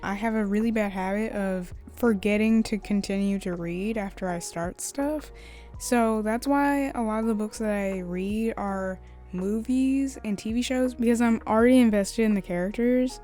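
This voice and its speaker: A young woman with a slightly hoarse voice, chatting casually in a voice-over about her art. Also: mid-range voice, warm tone. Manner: chatty, rambling, laughs often. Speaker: art YouTuber. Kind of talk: casual voice-over while drawing. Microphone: USB studio microphone.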